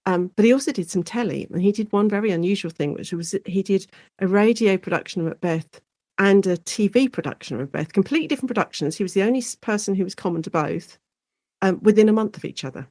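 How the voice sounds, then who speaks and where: A woman with an English accent explaining things as an expert guest in a conversational interview, in an animated, informal way. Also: medium pitch, fast, no echo.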